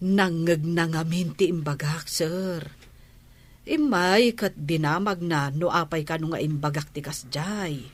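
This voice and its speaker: An actress playing a devoted, respectful but steadfast household nanny in a Filipino radio drama, with a deferential, earnest manner and a Filipino accent. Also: female voice, deep voice, unhurried. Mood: earnest, explaining.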